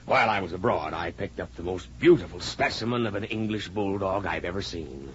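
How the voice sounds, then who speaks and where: Deep-voiced, self-important rich gentleman. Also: expressive, a little fast. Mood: proud, self-satisfied. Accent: American. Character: wealthy, hearty, self-important middle-aged father, sports enthusiast. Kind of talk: 1940s radio acting. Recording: muffled, noisy vintage 1940s radio broadcast.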